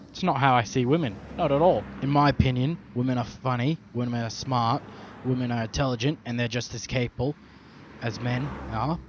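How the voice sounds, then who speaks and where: A young man with an Australian accent, swearing heavily and rambling through an in-character story. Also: medium pitch. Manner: heavy swearing, slangy, rambling. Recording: dull lo-fi recording, faint traffic hum.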